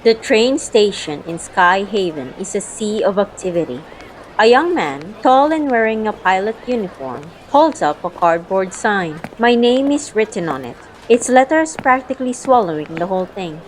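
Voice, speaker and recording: A woman's voice: mid-range voice, animated, moderate pace, slight background noise, rough recording. A woman narrating a first-person audio drama, acting out her own lines of dialogue within the story. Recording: background music under the voice. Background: music.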